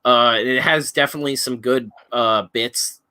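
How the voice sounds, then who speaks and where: A man's voice: mid-range voice, steady tone, moderate pace, bright, forceful tone, smooth, no echo. A man chatting casually about films as a live stream co-host, rambling and swearing freely. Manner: rambling, profane.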